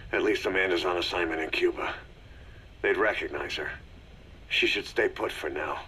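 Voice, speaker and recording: A man playing a gruff, stoic, terse mercenary commander in a video game audio drama, with a gravelly, husky voice. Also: low-pitched, moderate pace, pushed and bright, a little hiss or hum, poor audio. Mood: grim, terse.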